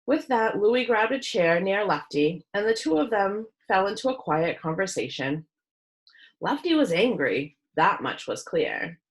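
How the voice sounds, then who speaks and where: A woman reading a children's book aloud as a storyteller, with expressive narration. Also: medium pitch, unhurried, poor audio.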